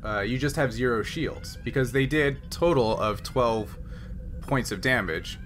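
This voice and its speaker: A man running a tabletop roleplaying game as game master, explaining rules and thinking aloud in a halting way. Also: mid-range voice, fairly bright tone, soft background music. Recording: noisy background.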